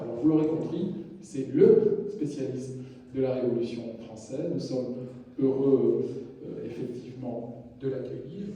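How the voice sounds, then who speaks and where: A man introduces a guest lecturer in a large hall, reading out a list of titles with small restarts. His voice is dark and mellow. The room rings with long reverb, and he is caught from a distance. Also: medium pitch. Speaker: host introducing a guest speaker. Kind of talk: formal introduction at a public lecture. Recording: echoey hall, mic picked up from a distance.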